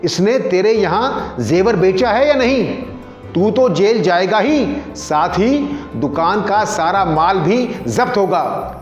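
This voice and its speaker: A man's voice: high-pitched, lively intonation, takes it slowly, few pauses, soft background music. A man voicing a wily, domineering corrupt police chief in a story, scolding and commanding. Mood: bullying. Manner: scolding, commanding, falsely sympathetic. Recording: roomy echo, dull lo-fi sound.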